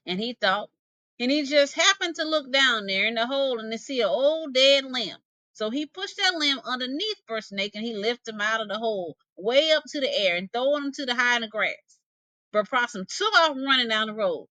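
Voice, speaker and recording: A woman's voice: fairly high pitch, lively intonation, normal speed, bright, forceful tone, dry recording, rough recording. A woman narrating a folktale expressively, with folksy dialect phrasing and dramatic delivery. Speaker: storyteller, English teacher.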